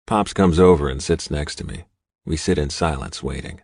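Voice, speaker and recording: A man narrates first-person fiction from a script. His voice is very deep. The sound is dry and close, free of any room. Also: moderate pace, slightly rough, no room sound. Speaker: audiobook narrator.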